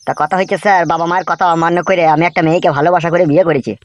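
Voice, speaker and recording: A man's voice: a little high, steady tone, rapid-fire, highs cut off, lightly acted. A man voicing an aggrieved, bewildered young working husband in a comedy cartoon, pleading and protesting. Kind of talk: Bengali comedy cartoon dubbing.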